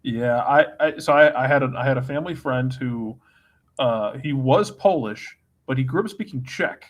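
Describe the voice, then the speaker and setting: A man with a medium-pitched voice chats as a panelist on a sports show. He speaks in a wry, anecdotal manner, like casual storytelling among colleagues. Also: moderate pace.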